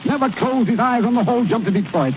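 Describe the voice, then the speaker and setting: Radio drama role: earnest team boss, coaxing, highish voice, noisy old broadcast. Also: male voice, lively intonation, quick pace, muffled recording. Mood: worried. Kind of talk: 1930s radio drama acting.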